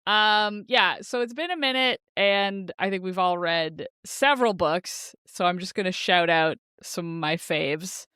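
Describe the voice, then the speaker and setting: A woman's voice: fairly low pitch, a little slow, few pauses, pushed and bright, smooth, no room sound, clean studio sound. A woman co-hosting a podcast, chatting casually about books in a giggly, enthusiastic way. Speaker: podcast co-host. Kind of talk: casual book chat.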